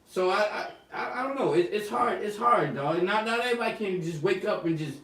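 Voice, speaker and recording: A man in his forties, a podcast guest telling stories in a casual, animated and profane way. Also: high voice, a little fast, far from the mic. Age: 40s. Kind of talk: casual chat. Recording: echoey room, off-mic.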